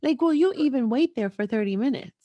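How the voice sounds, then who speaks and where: A woman's voice: high voice, expressive, quick pace, dry recording, muffled recording. A woman hosting a podcast in casual chat, with a frank, playful and teasing way of talking. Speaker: podcast host.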